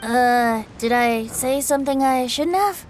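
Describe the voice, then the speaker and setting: A woman's voice: sits high, measured pace, slight background noise. A woman playing a carefree, playful young male bard in a video game, with a lilting, lighthearted delivery. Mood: puzzled, apologetic. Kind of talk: video game character voice acting.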